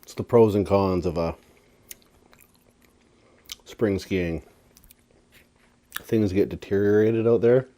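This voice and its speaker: A man's voice: low-pitched, takes it slowly, pauses often, dark tone. A man talking casually to viewers as an eating vlogger, with a laid-back, slangy, playful way of speaking. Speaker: food and lifestyle vlogger.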